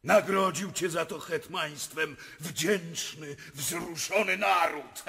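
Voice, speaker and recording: A sneering general in a theatrical radio play. He drawls very slowly and sarcastically in a sharp, high voice, with long hall reverb. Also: vocal fry, slight background noise, full character voice. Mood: mocking. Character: mocking, sarcastic general at an imperial court. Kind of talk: theatrical radio drama acting.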